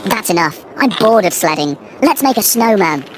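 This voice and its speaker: A woman's voice: medium pitch, expressive, normal speed, noisy background, natural voice. A woman voicing an eager, playful young boy who guides a children's storybook game. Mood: bored. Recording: loud music behind the voice. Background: music.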